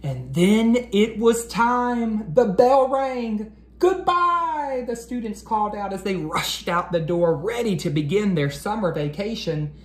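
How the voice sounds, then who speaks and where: A man hosting a children's read-aloud, speaking expressively to young listeners with drawn-out storybook phrasing, as an educator. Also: sits high, animated. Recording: noticeable background noise.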